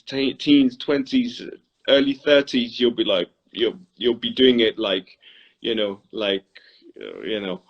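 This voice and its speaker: A man's voice: medium pitch, dull audio. A middle-aged man hosting a casual interview, speaking thoughtfully and rephrasing as he goes. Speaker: interviewer, host.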